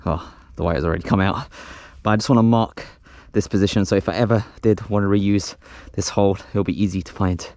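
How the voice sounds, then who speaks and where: A man's voice: fairly low pitch, steady tone, brisk, few pauses, darkish tone. A man casually narrating hands-on home renovation, thinking aloud as he works. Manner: unscripted, thinking aloud while working. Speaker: host of a home renovation vlog. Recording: noisy home recording with clicks.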